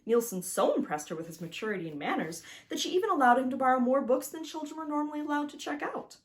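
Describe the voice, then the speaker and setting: Expressive woman reading a children's storybook. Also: high voice, fast talker. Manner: addresses the listener directly, emphatic storytelling. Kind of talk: expressive scripted storybook narration.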